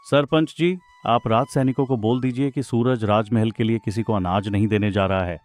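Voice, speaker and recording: A clever village farmer played by a man in an animated moral tale. He speaks respectfully but boldly in a fairly low voice. Faint music runs behind him. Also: normal speed, lightly acted. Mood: confident. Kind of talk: animated moral story dialogue.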